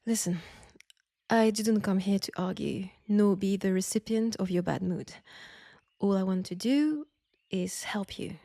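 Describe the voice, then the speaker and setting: Soft, mellow French nurse, acted by a woman. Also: medium pitch, a little slow, no echo. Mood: firm, exasperated. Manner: soft, breathy, gently coaxing. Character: caring, firm young French WWI army nurse. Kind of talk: intimate roleplay audio acting.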